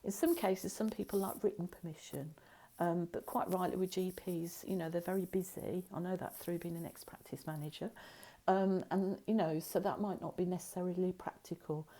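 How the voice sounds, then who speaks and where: A woman's voice: on the low side, fairly even intonation, normal speed, mellow, rough recording. A middle-aged woman giving a recorded Reiki lesson in a chatty, rambling way, full of asides and fillers. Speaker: Reiki teacher.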